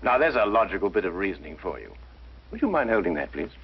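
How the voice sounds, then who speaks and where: An actor playing a brilliant, haughty English gentleman detective, precise and pompous, in the manner of 1950s television drama. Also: deep voice, sing-song, fast talker, husky, full character voice. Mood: lecturing. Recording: dull 1950s broadcast soundtrack, faint music.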